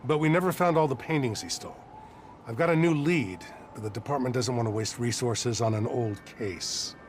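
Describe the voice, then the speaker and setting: A man's voice: medium pitch, moderate pace, slight background noise, close to an everyday voice. A man playing a weary, earnest older police detective nearing retirement in a video game, explaining things in a matter-of-fact way. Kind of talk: video game voice acting, conversational.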